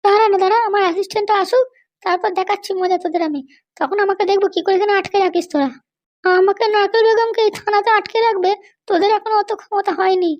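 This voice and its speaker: A woman's voice: very high-pitched, fairly even intonation, fast talker, muffled recording. A woman voicing an arrogant, scolding madam behind bars in an amateur comedy cartoon. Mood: threatening, bossy. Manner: bossy, scolding. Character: arrogant, threatening jailed madam demanding luxuries and release.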